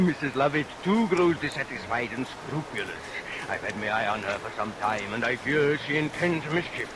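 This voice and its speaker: An actor playing a scheming, murderous villain barber in a vintage radio melodrama, declaiming theatrically and relishing each threat. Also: male voice, medium pitch, very animated, unhurried. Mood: gloating, scheming. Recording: vintage radio recording under rain noise.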